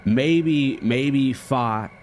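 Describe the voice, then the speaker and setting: A man's voice: mid-range voice, drawn-out words, darkish tone, slight background noise. An American man doing casual, slangy game commentary, thinking aloud as he plays. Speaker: gaming streamer.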